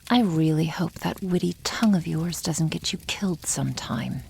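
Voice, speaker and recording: A woman voicing a proud, teasing dragon girl in an ASMR roleplay, speaking softly and often near a whisper, with wry condescension toward humans. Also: deep voice, moderate pace, creaky, slight background noise. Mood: teasing, haughty. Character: proud, teasing young female dragon, protective elder sister. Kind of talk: ASMR roleplay, addressing the listener.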